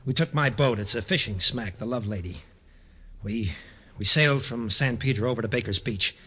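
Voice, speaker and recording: Husky young condemned convict, pleading. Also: male voice, mid-range voice, normal speed, sharp tone. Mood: confessional. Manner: earnest, pleading, slangy. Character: young condemned male convict, earnest, repentant, urgent. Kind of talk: 1940s radio drama acting.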